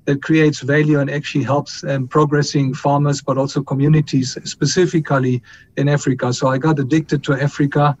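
A middle-aged man from Colombia talks through his answers in a measured way, pausing on fillers and restarting phrases. His voice sits a little high for a man. It comes through a dull, muffled line with a faint rumble behind it. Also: flat delivery, a little mellow. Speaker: company chief executive, guest. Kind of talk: interview answers.

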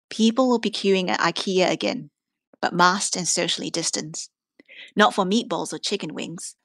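Female reader, slightly low register, articulate and measured delivery of written prose, very little room sound. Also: a bit bright, dry recording. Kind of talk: scripted literary reading.

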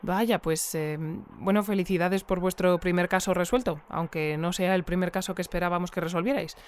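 An actress with a Spain accent playing an earnest, polite news bulletin presenter in an audio fiction mock newscast. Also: female voice, fairly low pitch, steady tone, normal speed, darkish tone, close to an everyday voice. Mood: puzzled, concerned. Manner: polite, hesitant when questioning.

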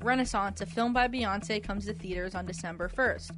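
A woman's voice: medium pitch, fairly quick. A woman presenting a quiz on a radio show, reading out questions with light banter. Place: radio studio. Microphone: close studio microphone. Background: music bed.

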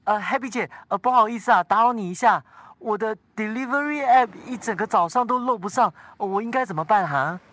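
A woman's voice: on the high side, brisk, a bit bright, no room sound, lightly acted. A woman acting an unsure, apologetic new delivery rider in a radio drama, hesitant and polite, with a Singaporean accent. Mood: sheepish.

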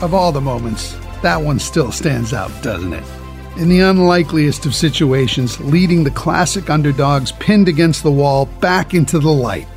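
A man narrating a documentary from a script in a measured, reflective way. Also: on the high side.

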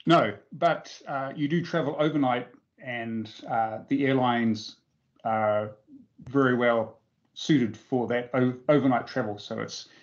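A middle-aged man with a New Zealand accent giving measured, halting answers as an interview guest. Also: medium pitch, highs cut off. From Auckland, New Zealand. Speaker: interview guest, forestry investment professional.

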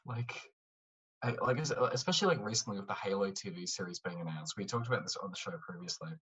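A man co-hosting a casual chat with a rough, husky voice, halting and repeating himself, picked up from a distance. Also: fairly low pitch, a bit bright. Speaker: podcast co-host. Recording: distant, off-mic voice.

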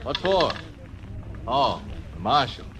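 An actor playing a blunt, tough croupier in a 1940s radio drama. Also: male voice, medium pitch, sing-song, slow pace, fairly bright tone. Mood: curt. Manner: curt, blunt. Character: tough, curt casino croupier.

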